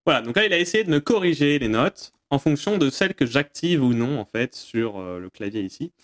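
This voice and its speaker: A man with an average-pitched voice presents a casual tutorial. He explains informally and hesitantly, with a self-deprecating streak, as if answering viewers' questions off the cuff. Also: expressive, a little fast.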